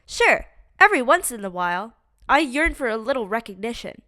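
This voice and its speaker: A woman giving a lively, expressive read-aloud of a children's picture book. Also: a little high, brisk, no echo, studio quality. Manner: lively, expressive reading for kids.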